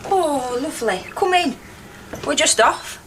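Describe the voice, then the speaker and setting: An actress playing a bold, fearless miner's wife with a Northern English accent, forthright in a naturalistic radio drama. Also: female voice, high-pitched, animated, unhurried. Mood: brisk, friendly. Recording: clear background noise.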